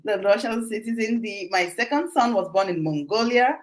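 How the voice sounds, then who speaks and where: Middle-aged woman, interview guest. Animated, laughs often, loose conversational delivery. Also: mid-range voice, normal speed. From Nigeria. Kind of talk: casual interview talk.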